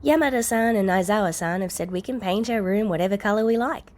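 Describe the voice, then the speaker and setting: A woman's voice: medium pitch, quick pace, close to an everyday voice. A woman voicing a caring older girl from a story, casual and reassuring, cheerful with an awkward streak.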